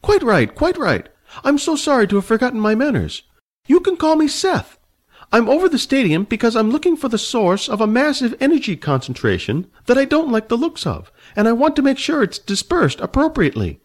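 Male narrator voicing a prim old wizard, high fussy voice, haughty and nosy, storybook read-aloud. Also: huge pitch swings, a little fast, heavily acted. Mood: inquisitive. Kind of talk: storybook reading.

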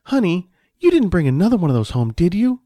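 A man voicing a fussy but soft-hearted wife and mother in a story, chiding and cooing. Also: sits high, sing-song, a little fast, no echo, clean studio sound, pitched above the performer's own voice. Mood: exasperated.

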